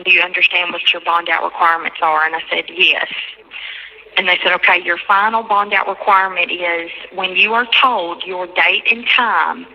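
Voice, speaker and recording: A woman on a recorded jail phone call, recounting a conversation in a halting, repetitive way and quoting others at length. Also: fairly low pitch, bright, forceful tone. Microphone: telephone handset.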